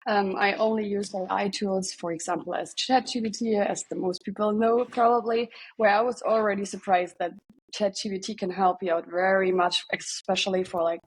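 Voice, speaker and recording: A woman with a German accent, speaking as a guest on a podcast. Her voice is slightly husky and sits at a middle pitch. She speaks hesitantly and often starts her sentences over. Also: steady tone, normal speed, rough recording. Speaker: linguist guest.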